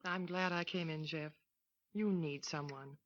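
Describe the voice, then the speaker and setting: Soft caring young woman, lowish voice, old radio crackle. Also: fairly even intonation, unhurried. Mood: gentle. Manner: gentle, soothing, concerned. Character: kind young female model, neighbor. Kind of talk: 1940s radio acting. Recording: dull lo-fi vintage radio recording.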